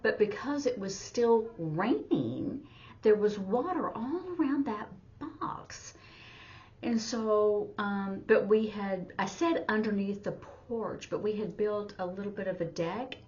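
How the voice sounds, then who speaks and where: A woman teacher retelling a story informally for a lesson, in a halting, step-by-step way. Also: medium pitch, darkish tone. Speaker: sign language teacher. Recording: dull, muffled lo-fi audio.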